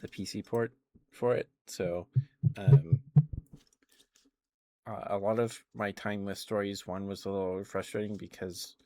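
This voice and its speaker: A man's voice: fairly low pitch, fairly even intonation, a little slow, long pauses, darkish tone, no room sound. A man streaming ASMR, chatting casually in a rambling, hesitant way into a close microphone. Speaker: live streamer. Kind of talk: ASMR, casual rambling chat to live viewers.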